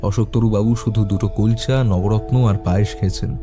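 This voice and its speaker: A man voicing a calm, courteous private detective in an audio story, measured and quietly probing. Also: fairly low pitch, over music, natural voice. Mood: polite, focused. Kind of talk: audio story character acting.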